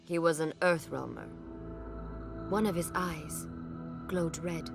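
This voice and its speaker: A woman playing a solemn warrior from another realm in a fantasy game, speaking in a grave, measured way. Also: sits low, creaky, music in the background, full character voice. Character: solemn otherworldly woman warrior, refugee leader.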